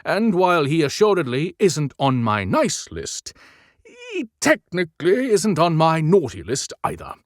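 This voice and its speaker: Man playing gruff, resolute old Santa Claus. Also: on the high side, wildly swooping pitch, unhurried, dry recording, clean studio sound, voice pushed up. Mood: matter-of-fact. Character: gruff, determined male Santa Claus, older action hero.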